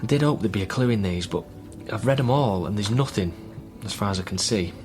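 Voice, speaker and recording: A man acting a troubled son in a British radio drama. His voice is on the low side, earnest and a little hesitant, with a guarded temper. Faint music sits underneath. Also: lively intonation, brisk, close to an everyday voice. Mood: worried. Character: worried, guarded divorced son caring for troubled father.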